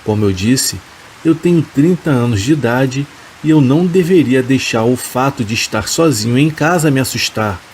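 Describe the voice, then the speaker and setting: Guy reading scary stories slow and steady, mid pitch, light rain hiss behind. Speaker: horror story narrator. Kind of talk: scripted narration of horror stories. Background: rain sounds.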